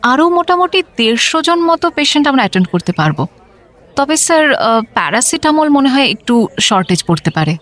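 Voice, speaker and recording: A woman's voice: high-pitched, big pitch swings, fast, fairly bright tone. A woman playing a brilliant, feisty young doctor and anatomy professor in an audio drama. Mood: polite, eager.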